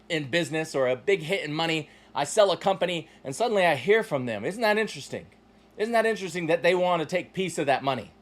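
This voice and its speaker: A man in his forties delivers a motivational podcast monologue with a slightly higher voice than most men. He talks bluntly and swears freely, punching some phrases hard and dropping others to a low aside. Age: 40s. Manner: blunt and profane, swinging from loud emphatic bursts to low asides. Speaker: podcast host.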